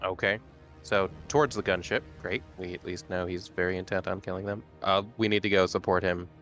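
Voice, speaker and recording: Low male tabletop gamer over music. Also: fairly even intonation, brisk, a bit bright. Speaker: player in a tabletop roleplaying game. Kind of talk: casual game table chat. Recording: background music under the voice.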